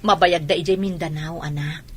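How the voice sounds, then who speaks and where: A woman's voice: low voice, fairly even intonation, normal speed, slight background noise, natural voice. An actress playing an earnest woman in a radio drama, speaking emphatically. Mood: reassuring. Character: earnest, troubled woman and reassuring young mother.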